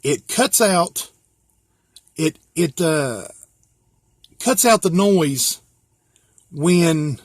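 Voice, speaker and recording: A man's voice: on the high side, animated, very slow, pauses often, no room sound, studio quality. An American man giving a casual hands-on walkthrough as a hobbyist, explaining things step by step in a plain-spoken, folksy way. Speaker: hobbyist presenter.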